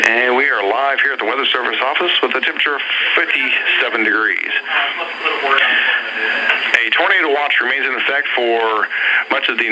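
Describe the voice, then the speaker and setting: A man announcing live severe weather bulletins on the radio in a halting, matter-of-fact way, pausing through lists of places. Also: medium pitch. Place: weather service office, heard over radio. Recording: very noisy weather radio broadcast.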